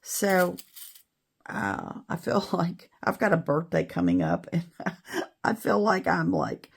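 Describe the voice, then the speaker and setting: A middle-aged woman with a raspy voice after an illness, chatting casually and rambling a little while she shows how to make a beaded bracelet. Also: on the low side, huge pitch swings, takes it slowly. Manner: chatty, rambling, self-deprecating. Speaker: beading video host. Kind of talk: casual tutorial chat.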